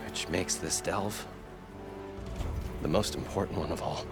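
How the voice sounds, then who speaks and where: A man's voice: deep voice, a little slow. An actor playing an eager inventor and showman, with dramatic, flowery storytelling in a video game. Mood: proud, theatrical. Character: eager, theatrical male inventor and showman chasing treasure. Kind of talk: video game cutscene acting. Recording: music behind the voice.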